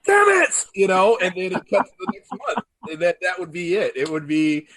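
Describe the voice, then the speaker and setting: A man with a slightly high voice riffs casually in an online panel discussion. He talks jokingly and acts out small comic bits. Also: moderate pace, fairly bright tone, no room sound, poor audio. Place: indoors, online video call.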